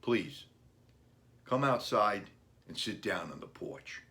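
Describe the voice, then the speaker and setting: A man reading a children's storybook, playing an earnest, gentle father talking about his young son's wishes. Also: fairly low pitch, expressive, slow, heavily acted. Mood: regretful.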